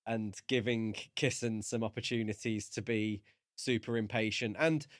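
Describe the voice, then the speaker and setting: A man co-hosting a podcast, chatting casually about a book and reasoning his way through in hesitant, measured phrases. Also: lowish voice, steady tone, no echo, studio quality. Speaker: podcast co-host. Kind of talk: casual book discussion chat.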